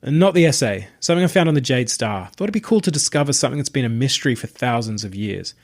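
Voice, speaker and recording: A man's voice: medium pitch, lively intonation, fast. A man voicing an eager, persuasive young male archaeology student in an audiobook, with a keen, playful manner. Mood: eager, coaxing. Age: young adult. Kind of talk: audiobook character voice.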